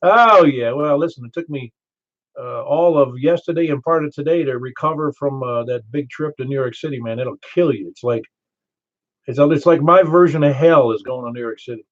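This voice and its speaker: An older man with a Southern American accent running a ham radio net, chatty and quick to laugh as he tells stories. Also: medium pitch. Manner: chatty, storytelling, laughs easily. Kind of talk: casual radio chat. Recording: muffled ham radio audio.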